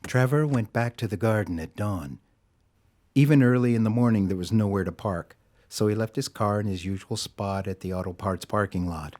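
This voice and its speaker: A man's voice: on the low side, moderate pace, darkish tone. A man reading scripted story narration as the narrator of an audio drama.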